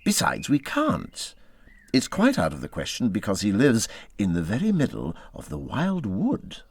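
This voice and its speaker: A man's voice: fairly low pitch, huge pitch swings, normal speed, gravelly, breathy edge, heavily acted. A man voicing a cautious Water Rat in a storybook, fussily reassuring and evasive. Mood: alarmed. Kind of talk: storybook character voice.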